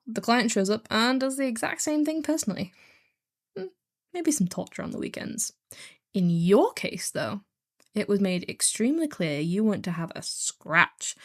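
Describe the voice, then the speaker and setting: A snarky female kidnapper for hire, acted by a woman in an audio roleplay drama. She sounds bored and heartless, drawling casually at a captive. Her mid-range voice rises and falls freely with sardonic emphasis. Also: mid-range voice. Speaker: voice actor. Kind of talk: scripted one-sided audio roleplay drama.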